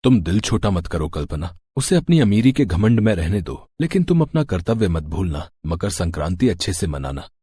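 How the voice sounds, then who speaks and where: नरम, दिलासा देता गरीब पिता बना पुरुष. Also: male voice, low-pitched, big pitch swings, normal speed, few pauses, warm tone, breathy edge, dry recording, exaggerated voice. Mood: calm, reassuring. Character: kind, humble, poor rickshaw-driver father, reassuring husband. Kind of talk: Hindi cartoon moral story acting.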